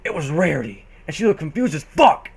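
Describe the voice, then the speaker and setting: A man's voice: on the high side, big pitch swings, brisk, gravelly, husky. A man narrating fan fiction as a comic dramatic reading, amused and often stumbling and correcting himself. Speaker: reader.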